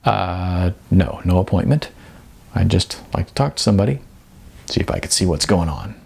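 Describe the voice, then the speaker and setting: Man acting a hesitant young hopeful, gravelly. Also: sits low, animated, a little mellow, heavily acted. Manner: hesitant, with stammers and pauses. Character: naive, eager young male aspiring actor. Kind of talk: audiobook character dialogue.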